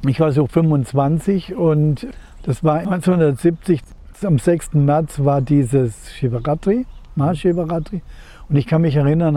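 A man in his seventies from Germany, recalling his life in an interview in a measured, reflective way, searching for words. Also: fairly high pitch, warm tone. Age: 70s. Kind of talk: reflective personal recollection in an interview.

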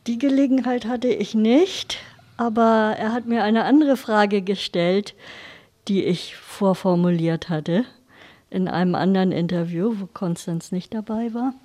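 A woman being interviewed about her spiritual life, telling a personal recollection in measured, deliberate phrases. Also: mid-range voice, warm tone. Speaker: interview guest, spiritual devotee.

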